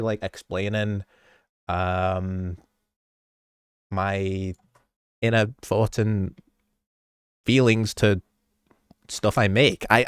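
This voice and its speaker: A man with a lowish, slightly blocked-up voice talks through his editing work in a loose, rambling way. He trips over his own sentences and laughs at his mistakes. The sound is dry and close, with no room echo. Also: clean tone. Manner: rambling, self-interrupting, chuckling often. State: stuffy, a bit sick. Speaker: video creator explaining his edits. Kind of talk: rambling behind-the-scenes commentary.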